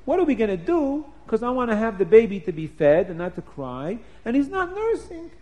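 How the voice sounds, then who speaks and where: A man's voice: high voice, big pitch swings, moderate pace. A man voicing a kind, naive young princess who chatters and pleads in a raised voice. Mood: chatty, pleading.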